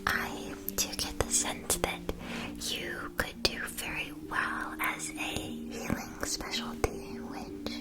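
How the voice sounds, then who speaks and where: Woman doing a gentle witch shop lady for asmr roleplay, all whispered, really slow and soothing. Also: natural voice. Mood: calm, attentive. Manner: soft, measured, soothing explanations. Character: gentle, attentive witch school supply shopkeeper advising a student.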